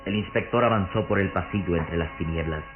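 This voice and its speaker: A man with a very low voice narrates a radio drama solemnly and dramatically. The recording is muffled, like old radio, with clear music behind him. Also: a little fast.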